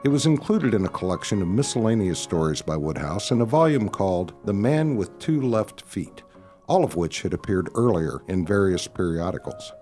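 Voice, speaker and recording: A man's voice: on the low side, darkish tone. A man announcing a radio play in a scripted introduction, with music behind him.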